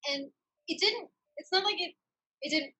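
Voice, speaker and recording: A woman's voice: very high voice, normal speed, sharp tone. A woman hosting a candid, casual conversation, speaking in a reflective, articulate and emphatic way. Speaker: podcast host.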